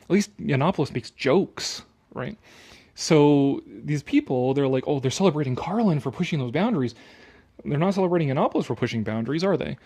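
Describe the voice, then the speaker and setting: Man, slightly high voice, dramatic article reading, casual commentary, sarcastic, dismissive, blunt. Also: lively intonation, fairly quick, dark tone, slightly rough. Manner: blunt, heavy swearing. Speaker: online commentator.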